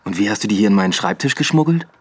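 A man's voice: fairly low pitch, lively intonation, very fast, slightly rough. A man playing a dry, probing police detective in a radio play, terse and wry. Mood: warm, playful. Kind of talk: radio drama dialogue.

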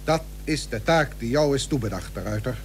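An actor playing a grave, persuasive seventeenth-century shipowner and statesman in a historical radio play, speaking measured, formal archaic Dutch. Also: medium pitch, animated, lightly acted. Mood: urging. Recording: old radio recording with hum and hiss.

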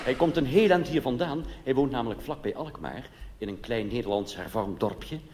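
A man's voice: mid-range voice, lively intonation, moderate pace, a loud crowd, very noisy. Male cabaret comedian on stage, chatty wry asides, voice goes up and down a lot, big hall reverb. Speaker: cabaret performer. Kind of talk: live comic monologue. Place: theatre stage. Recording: live theatre, long hall reverb.

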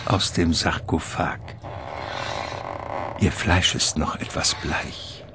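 A man narrating a radio drama from a script in a deliberate, suspenseful manner. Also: extremely low pitch, background noise.

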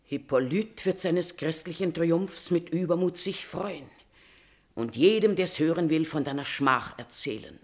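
A woman's voice: sits low, measured pace. An actress playing a queen's devoted and scheming confidante in a classical tragedy, declaiming verse in an emphatic, persuasive manner. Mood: bitter, probing. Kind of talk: declamatory classical German stage verse acting.

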